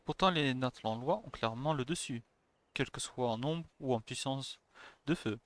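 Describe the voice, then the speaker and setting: A man's voice: medium pitch, normal speed, no room sound. A French-speaking man livestreaming a game, reading dialogue aloud and commenting casually. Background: faint game music.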